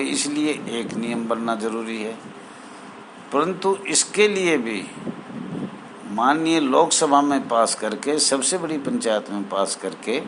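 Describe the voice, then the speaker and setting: Male, mid-pitched, slow and halting association spokesman explaining procedure formally, with clear traffic-like noise behind him. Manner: halting, formal honorifics, repeats phrases. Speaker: home guard association spokesman. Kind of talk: informal explanatory address to association members.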